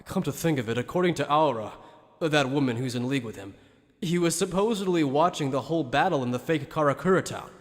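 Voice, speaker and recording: A man's voice: on the high side, lively intonation, fast, big-room sound, natural voice. Man as earnest young anime soldier. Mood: concerned. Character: earnest, resolute young male lieutenant soldier. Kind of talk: anime fan-dub audio drama acting.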